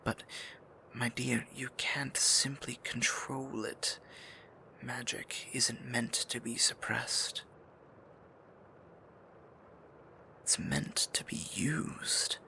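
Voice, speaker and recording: Man playing a breathy, gravelly dark sorcerer. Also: mid-range voice, steady tone, exaggerated voice. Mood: earnest, hesitant. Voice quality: breathy, gravelly, often near-whispered. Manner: formal, archaic endearments, slow deliberate phrasing. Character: adult male dark sorcerer, tender, possessive, courtly. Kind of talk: intimate ASMR roleplay acting.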